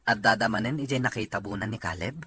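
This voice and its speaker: A man's voice: fairly low pitch, quick pace, pushed and bright, soft background music. A man playing a concerned, attentive young husband with a Filipino accent in an Ilocano audio drama. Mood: subdued.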